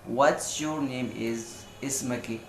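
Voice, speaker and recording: A man's voice: mid-range voice, brisk, roomy sound, background noise. A young man with an Egyptian accent, casually teaching simple phrases and repeating them with lots of fillers. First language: Egyptian Arabic. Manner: loose, repetitive drilling of phrases, many fillers. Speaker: language video host. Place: room at home, seated on a sofa.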